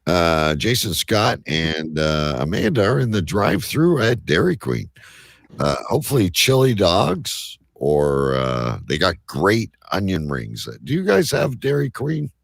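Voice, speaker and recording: A man hosting a live show with casual banter and a rough, gravelly voice. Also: low-pitched, sing-song, measured pace, no room sound, clean studio sound. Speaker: host of a live online show.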